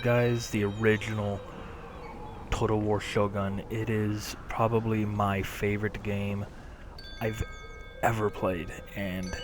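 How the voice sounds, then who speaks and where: Young man thinking aloud over game music. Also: on the low side. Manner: musing, thinking aloud while clicking. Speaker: gaming channel host. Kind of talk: casual gameplay commentary.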